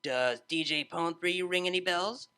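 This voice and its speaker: A man's voice: high voice, measured pace, bright, forceful tone, clean tone, voice pushed up, heavily acted. A man voicing an easygoing, cocky young female unicorn DJ who talks in casual, confident slang in a storybook reading. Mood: cocky, friendly.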